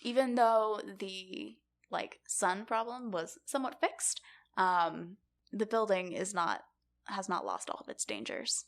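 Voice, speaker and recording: A woman's voice: mid-range voice, lively intonation, takes it slowly, gravelly. A woman co-hosting a podcast, explaining things in an informal, wryly joking chat style. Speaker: podcast co-host presenting the episode's topic.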